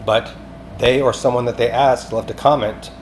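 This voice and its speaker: A man's voice: mid-range voice, fairly even intonation, normal speed. A man leaving a rambling voicemail, pleading in an agitated, insistent, repetitive way with some swearing. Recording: clearly noisy amateur phone-call recording.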